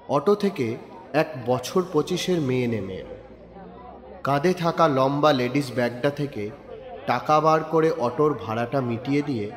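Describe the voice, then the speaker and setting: A man narrating a crime audio story in a measured, descriptive storytelling style. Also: medium pitch. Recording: reverberant room with background music. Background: soft background music.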